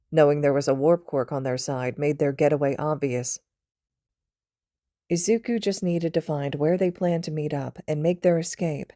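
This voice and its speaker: Low-voiced woman narrating a story. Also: mellow, dry recording, clean studio sound. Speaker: podfic reader.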